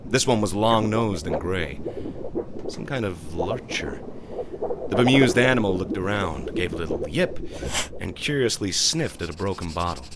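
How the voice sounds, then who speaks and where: A man's voice: deep voice, expressive, moderate pace. A man playing a sheepish, wry young wizard's apprentice, narrating a comic audio drama in a theatrical, hesitant manner. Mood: wry, storytelling. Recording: clearly noisy background.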